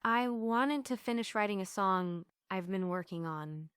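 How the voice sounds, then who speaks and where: A woman's voice: mid-range voice, a little slow, a bit bright, clear, resonant tone, no echo, studio quality, natural voice. A woman playing a playful, theatrical thirteen-year-old girl who dreams of stardom, in a stage reading. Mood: playful, excited.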